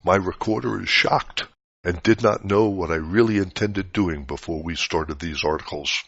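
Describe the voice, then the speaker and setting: A man reading a scripted spiritual teaching aloud in a measured, didactic way. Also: sits low, vocal fry, fairly bright tone. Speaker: reader of spiritual articles. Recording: dull, roomy lo-fi recording.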